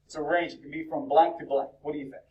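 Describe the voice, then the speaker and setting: A male instructor lecturing a university class in a lively, chatty way, peppering students with questions, his voice cracking. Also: on the high side, fast talker, highs cut off. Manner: talkative, upbeat, fires questions at the class. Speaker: business instructor. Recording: echoey classroom from far off.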